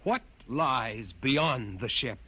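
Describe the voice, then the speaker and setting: An actor playing a bold, defiant young crewman who challenges forcefully, in a vintage science-fiction radio drama. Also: mid-range voice, lively intonation, slow, muffled recording, exaggerated voice. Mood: probing.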